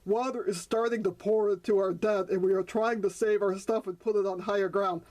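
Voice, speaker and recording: A woman's voice: medium pitch, fairly even intonation, fairly quick, a little mellow, close to an everyday voice. Woman voicing a stern old grandfather frog. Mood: stern, worried. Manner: scolding, firm.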